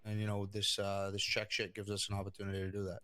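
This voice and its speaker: Guy roleplaying a mafia underling, low flat voice, real clean sound, casual n hesitant. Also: fast, clean tone. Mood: agreeable. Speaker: roleplay player. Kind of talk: improvised in-character crime roleplay.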